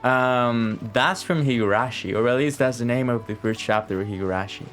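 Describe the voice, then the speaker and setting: A man's voice: medium pitch, moderate pace, fairly bright tone. A man giving casual live game commentary as a streamer, informal and rambling, with playful asides. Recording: game music behind the voice.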